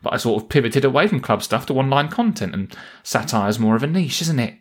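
A man's voice: mid-range voice, expressive, a little fast. A man, hosting scripted satirical comedy in a defensive, exasperated manner. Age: 30s. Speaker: satirical news podcast host and writer.

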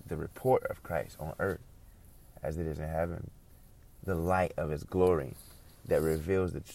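A man's voice: low-pitched, vocal fry, dark tone. A man preaching an informal sermon, earnest and reflective, repeating and building on his phrases.

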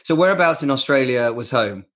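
A man's voice: mid-range voice, expressive, a bit bright. A man hosting an interview podcast, enthusiastic and chatty, often repeating his words. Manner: enthusiastic, repeats himself, quick bursts. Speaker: podcast host. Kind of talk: casual interview chat.

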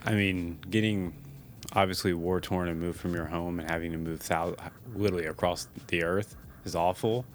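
A man's voice: low-pitched, fairly even intonation, moderate pace, slight background noise. A man co-hosting a casual podcast, chatty and upbeat, laughing easily as he shares stories. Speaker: podcast co-host.

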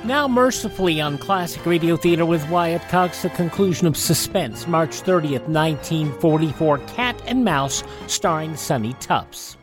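A man hosting a radio show, giving scripted introductions in a wry, deliberate announcer manner. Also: fairly high pitch, expressive, music in the background.

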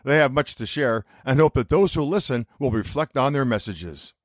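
An older man with a deep, rich voice delivers a show opening in a polished announcer manner. His pitch moves a lot. The sound is dry and close. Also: lively intonation, normal speed, no room sound. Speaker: podcast co-host. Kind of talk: scripted show introduction.

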